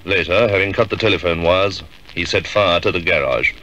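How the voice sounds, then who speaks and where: A man acting a shrewd, authoritative middle-aged police superintendent in a 1950s radio drama, measured and decisive. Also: deep voice, sharp tone, dull audio, a little hiss or hum. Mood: grave, firm.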